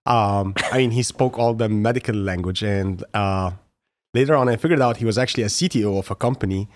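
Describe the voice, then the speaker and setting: A man's voice: fairly low pitch, brisk. Young man hosting casually. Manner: casual, laughing, friendly. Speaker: podcast host. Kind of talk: podcast interview. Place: studio room with plants. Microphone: boom mic with headphones.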